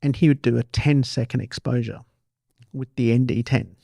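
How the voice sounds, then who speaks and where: A man's voice: medium pitch, steady tone, normal speed, vocal fry, warm tone, dry recording, clean studio sound. A man hosting an interview show, chatting casually and telling anecdotes as he talks with his guest. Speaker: podcast host interviewing a guest.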